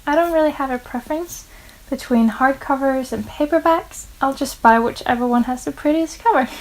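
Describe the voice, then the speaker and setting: A woman's voice: sits high, normal speed, rough recording. A Norwegian woman of twenty-one, whose first language is Norwegian, chatting earnestly to camera in English about her reading habits. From Norway. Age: 20s. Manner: chatty, earnest, lists personal facts. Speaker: book vlogger. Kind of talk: casual chat to camera.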